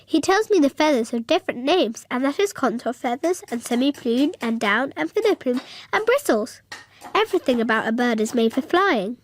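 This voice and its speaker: A woman's voice: sits high, animated, a little hiss or hum. An actress playing a cheerful, literal-minded six-year-old girl who narrates earnestly in a radio drama. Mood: earnest wonder. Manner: childlike, earnest, halting over long words.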